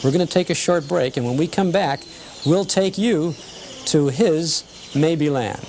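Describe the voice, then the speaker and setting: A man's voice: fairly high pitch, unhurried, darkish tone, slight background noise. A man hosting a nostalgia TV show in an informal, folksy, chatty way with hesitant phrasing. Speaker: host.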